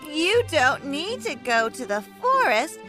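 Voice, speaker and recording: A woman's voice: sits high, sing-song, measured pace, music in the background. A woman voicing a sweet, hopeful old woman in a children's fairytale cartoon, in a sing-song, childlike manner.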